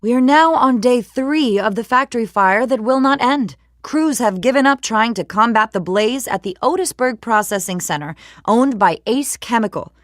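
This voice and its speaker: Game news reporter, actress performing: woman, higher-pitched, polished and measured, slightly wry, recorded close and dry. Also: expressive, no echo, close to an everyday voice. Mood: serious, urgent. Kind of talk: scripted news broadcast.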